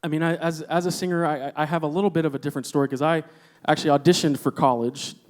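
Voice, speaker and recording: A singer from Puerto Rico talking earnestly between songs on a concert stage, pausing often with fillers. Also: male voice, a little high, fairly even intonation. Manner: earnest, halting, with many fillers. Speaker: guest singer.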